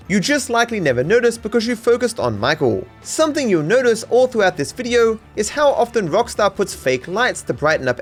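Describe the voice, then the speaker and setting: A man with an Australian accent narrating a scripted comedic video essay with dry, mock-serious sarcasm. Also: sits high.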